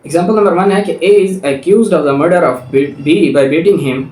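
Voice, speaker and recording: A man's voice: high-pitched, slow, darkish tone. A Pakistani man giving an explanatory law lecture, reading formal text aloud and then methodically rephrasing it, mixing in English terms. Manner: methodical, repeats and rephrases points, switches into English legal terms. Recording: echoey room, distant microphone.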